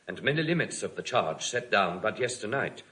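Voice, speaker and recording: A man's voice: fairly low pitch, lively intonation, a little fast, sharp tone. An actor playing a dutiful nobleman in classical Shakespearean audio drama, delivering news in a grave, formal, declamatory manner. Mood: grave, urgent. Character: dutiful English nobleman reporting grim war news.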